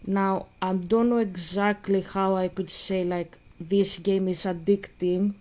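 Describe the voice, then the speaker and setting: A young woman, chatting casually and rambling with many pauses and fillers while playing a game. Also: medium pitch, fairly even intonation, darkish tone. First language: Greek. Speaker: gaming vlogger. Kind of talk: casual gaming chat.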